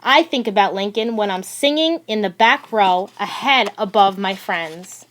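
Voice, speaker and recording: A woman narrating a children's picture book aloud in a deliberate, expressive storytelling manner. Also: medium pitch, slight background noise. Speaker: audiobook reader.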